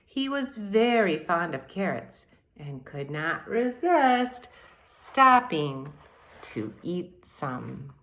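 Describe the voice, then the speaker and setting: A woman teacher reading aloud and explaining to young children in a deliberate, expressive way, speaking to them directly. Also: fairly low pitch. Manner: deliberate, addresses children directly with questions and asides. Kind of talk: expressive children's read-aloud and mini lesson.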